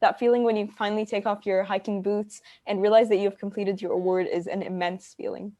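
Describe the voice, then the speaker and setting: A woman's voice: mid-range voice, steady tone, quick pace, mellow. A teenage girl speaks with enthusiasm on a video call panel. The sound is muffled and lo-fi.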